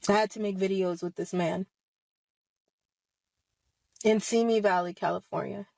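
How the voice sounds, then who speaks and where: A woman's voice: medium pitch, steady tone, unhurried, creaky, darkish tone, dry recording, low-quality recording. A woman of about thirty giving impassioned personal testimony straight to her online audience, emphatic and confrontational, leaning on rhetorical questions. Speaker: online video host speaking about personal experience.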